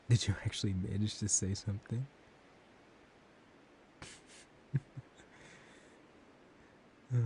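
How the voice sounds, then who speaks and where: A man playing a dominant, seductive vampire in a soft-spoken ASMR roleplay, slow and teasing, often slipping into whispers. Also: low voice. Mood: mocking, amused. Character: dominant, seductive male vampire hypnotizing his captive lover. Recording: steady background hiss.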